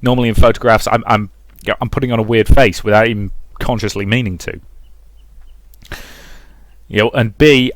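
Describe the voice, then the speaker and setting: A man's voice: mid-range voice, no echo. A man doing a let's play, reading a visual novel aloud and dropping in casual, chatty asides.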